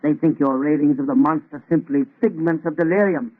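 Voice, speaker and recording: Radio drama confidant: male actor as paternal older professor, slightly high voice, careful and avuncular, narrow vintage recording. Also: brisk, lightly acted. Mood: fatherly caution. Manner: avuncular, admonishing. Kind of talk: old-time radio drama acting.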